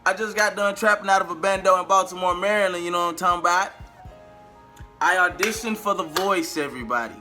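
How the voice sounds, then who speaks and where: Man, American accent, bright forceful voice, casual slangy vlog talk, animated, faint music behind. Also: high voice, fast talker. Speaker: vlogger and amateur singer.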